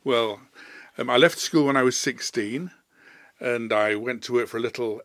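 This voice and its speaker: A man's voice: medium pitch, normal speed, a bit bright, no echo, clean studio sound. Older man telling stories. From Leeds, England. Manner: rambling, anecdotal, with fillers. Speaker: playwright guest. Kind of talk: relaxed storytelling interview.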